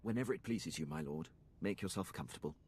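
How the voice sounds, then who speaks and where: Eager military officer, video game, deferential, formal, very low, animated intonation, precise. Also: male voice, fast, creaky, slight background noise.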